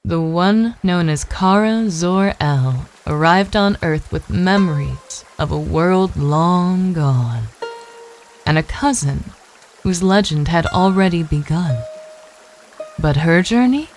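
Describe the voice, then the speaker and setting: A woman's voice: lowish voice, expressive, slow pace, a little mellow, soft background music, poor audio. A woman narrating an audio drama in a scripted, dramatic storytelling style.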